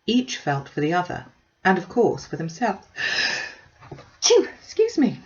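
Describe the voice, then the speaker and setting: Low-voiced woman reading a classic novel. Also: huge pitch swings, measured pace, a little mellow, dull audio. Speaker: audiobook reader. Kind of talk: scripted narration of a classic novel.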